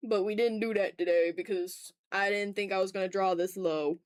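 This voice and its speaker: A woman's voice: mid-range voice, fairly even intonation, moderate pace, no room sound, clean studio sound. A woman chatting casually as she draws on a livestream, teasing her viewers with playful mock exasperation. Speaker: art streamer. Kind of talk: casual livestream chat while drawing.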